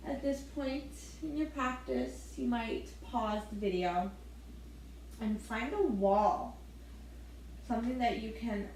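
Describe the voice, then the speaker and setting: A woman leading a gentle yoga class, giving soft step-by-step breathing cues with the odd light laugh. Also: fairly high pitch, very echoey, a little hiss or hum, low-quality recording. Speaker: yoga instructor.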